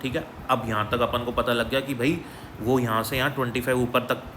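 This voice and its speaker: A man's voice: medium pitch, steady tone, brisk, a bit bright, slight background noise. A male physics teacher working through an exam problem step by step in a patient, explanatory way, often checking in with his listeners. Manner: explanatory, repeats "ठीक है" as a check-in.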